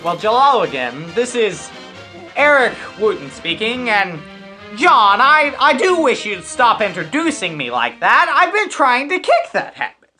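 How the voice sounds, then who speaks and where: A woman's voice: on the high side, very animated, measured pace, bright, forceful tone, soft background music, heavily acted. A woman playing a cheap, vain, exasperated male comedian hosting an old-time radio comedy, with a hesitant, put-upon manner. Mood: self-satisfied. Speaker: master of ceremonies.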